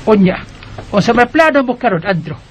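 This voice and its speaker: A woman's voice: a little high, big pitch swings, fairly quick, slightly rough, soft background music. An actor voicing a gossiping, disapproving old grandmother in a radio drama. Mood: gossipy. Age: older.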